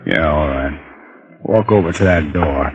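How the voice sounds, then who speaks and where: A man's voice: a bass voice, a little slow, highs cut off. An actor playing a grim, uneasy henchman giving terse orders in an old-time radio crime drama. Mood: grim threat. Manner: terse, commanding. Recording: noisy vintage radio recording.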